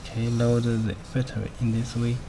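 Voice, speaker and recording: Male product demonstrator, non-native English, mid-pitched, clipped halting instructions, noisy amateur recording. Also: level tone. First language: not a native English speaker. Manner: halting, simple clipped phrasing. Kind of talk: step-by-step spoken product demonstration.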